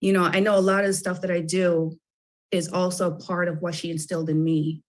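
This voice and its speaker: A woman's voice: on the low side, level tone, a little fast. A middle-aged woman, a children's book author, talking as a guest in a radio interview, chatty and full of fillers and restarts.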